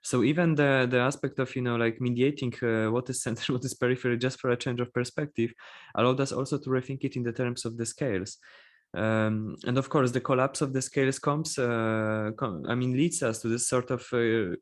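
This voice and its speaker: Panel moderator, man, mid-pitched, searching for words. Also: fairly even intonation, fairly quick. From Poland. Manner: thinking aloud, searching for words. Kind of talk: panel discussion.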